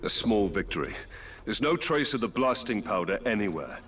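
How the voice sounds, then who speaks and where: An actor playing a grave, determined human ranger in a video game, speaking formally and resolutely. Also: male voice, fairly low pitch, sing-song, normal speed, a bit bright. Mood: grim. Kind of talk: video game cutscene dialogue. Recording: music behind the voice.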